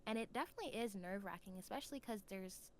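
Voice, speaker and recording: A woman's voice: medium pitch, expressive, fast talker. A woman chatting casually as an interview guest, earnest and reflective in how she talks. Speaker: podcast guest, new voice actor.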